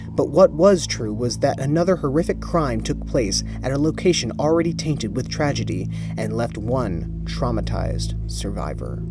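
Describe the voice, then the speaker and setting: Dramatic male horror narrator over music. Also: mid-range voice. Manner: dramatic, measured, pausing for suspense. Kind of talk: scripted horror story narration. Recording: music behind the voice.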